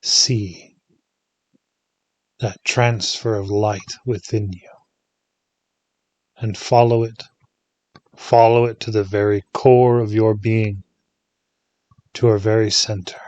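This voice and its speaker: A man leading a guided meditation in a soothing, hushed manner, leaving long pauses between short phrases. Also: fairly low pitch, flat delivery, dry recording.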